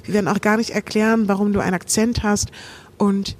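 A woman's voice: medium pitch, fairly even intonation, fairly quick. A woman from the Netherlands with a Dutch accent, an actress chatting as a podcast guest, speaking thoughtfully with a rounded, melodic phrasing. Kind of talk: casual podcast chat.

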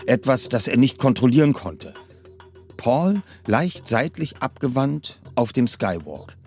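A man's voice: medium pitch, sing-song, unhurried, a little mellow, faint music behind. A man reading a suspense story aloud in a tense, dramatic narration style. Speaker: reader. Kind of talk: audiobook narration.

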